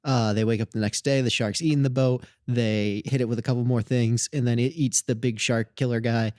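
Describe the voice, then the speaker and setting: A young man co-hosting a casual movie podcast, jokey and brisk when he sums up a plot. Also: medium pitch, steady tone, a little mellow, no echo, studio quality. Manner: jokey, rapid-fire plot recap, puts on silly voices. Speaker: podcast co-host.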